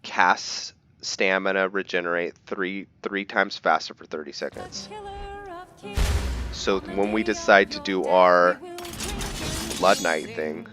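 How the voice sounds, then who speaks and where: A man with a low voice commentates casually over a game. He talks slowly, thinking aloud in broken, halting bits, with music playing behind him. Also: fairly even intonation, sharp tone. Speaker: gaming video host. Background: game music and sound effects.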